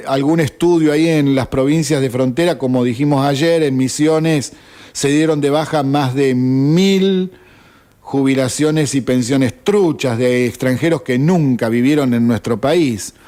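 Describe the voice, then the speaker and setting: A man's voice: on the high side, a little slow, mellow. Indignant Argentine man ranting on radio. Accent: Argentine Spanish. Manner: indignant, ranting, with rhetorical questions. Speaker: radio host. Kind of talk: opinion monologue on radio.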